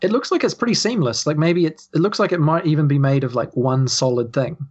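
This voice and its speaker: A man's voice: a little high, a little fast, a little mellow, dull audio. A man with a New Zealand accent casually improvising narration as game master.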